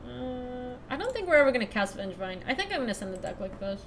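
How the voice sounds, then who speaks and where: A young woman from Ecuador chatting casually through a card game stream, thinking out loud and laughing often. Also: a little high, very animated, fast, a little hiss or hum. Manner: chatty, giggly, thinks aloud to chat. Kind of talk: casual live-stream commentary.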